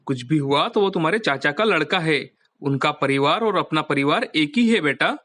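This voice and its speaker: A man's voice: fairly high pitch, expressive, normal speed, bright, forceful tone. An actor playing a mild, resigned husband and father in a moral story, earnest and patient.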